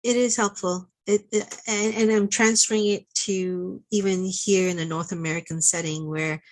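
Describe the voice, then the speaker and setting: Woman from Malaysia, workshop participant, thoughtful, pauses to find words. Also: mid-range voice, steady tone. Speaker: workshop participant asking a question.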